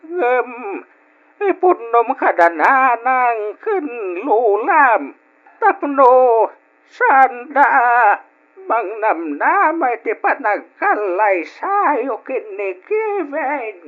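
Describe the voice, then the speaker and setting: An actor playing a concerned old grandfather in a radio drama, speaking in a grave, drawn-out way with an Ilocano accent. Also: very high-pitched, sing-song, exaggerated voice. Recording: dull lo-fi old radio sound.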